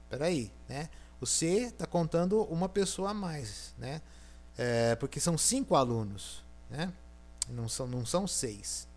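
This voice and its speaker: A man with a Brazilian Portuguese accent explains a lesson in a loose, conversational way. He thinks aloud, often pausing to check that students are following. His voice sits a little higher than most men's. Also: vocal fry. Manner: thinking aloud, frequent "né?" and fillers. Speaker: university lecturer. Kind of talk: recorded programming lecture.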